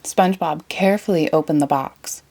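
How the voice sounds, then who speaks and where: A woman reading a children's story aloud in an expressive, deliberate way. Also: low-pitched, gravelly, slight background noise. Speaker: storybook reader. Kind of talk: children's read-aloud narration.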